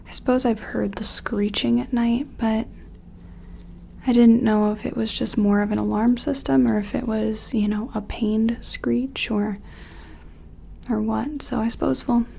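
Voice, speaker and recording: A woman playing a gentle, curious scientist in an ASMR roleplay, speaking softly and tentatively in a breathy, often whispery, soothing voice. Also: on the high side, slight background noise. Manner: soothing, tentative, apologetic, hesitant pauses. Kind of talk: soft-spoken ASMR roleplay.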